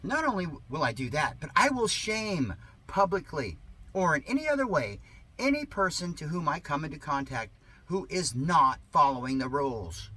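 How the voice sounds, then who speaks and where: A man's voice: a little high, bright, forceful tone, breathy edge, low-quality recording. A man reading a satirical monologue aloud in a sarcastic, mock-earnest, theatrical way with exaggerated emphasis. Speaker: reader of a satirical article.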